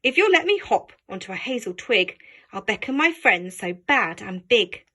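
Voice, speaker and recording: A woman's voice: fairly high pitch, very animated, normal speed, sharp tone, heavily acted. A woman voicing a small, clever male mouse in a children's storybook, bargaining persuasively. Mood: urgent, persuasive.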